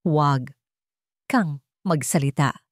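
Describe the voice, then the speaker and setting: A woman's voice: deep voice, slow, dry recording, studio quality. A woman storyteller voicing a betrayed, angry man, a rich husband and son, with stern, emphatic delivery in an audio drama. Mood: stern anger.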